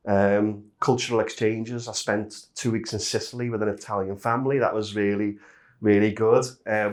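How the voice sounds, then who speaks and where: A middle-aged man from Liverpool with a Liverpool accent, chatting as a guest in a casual interview. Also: on the low side. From Liverpool, England. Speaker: interview guest, industrial safety manager.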